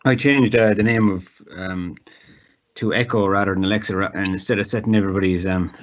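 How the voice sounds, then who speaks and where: A man's voice: lowish voice, steady tone, fairly quick, dull audio. An Irish man giving a casual, informal demonstration as a guest, with some hesitation. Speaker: guest demonstrating accessible technology.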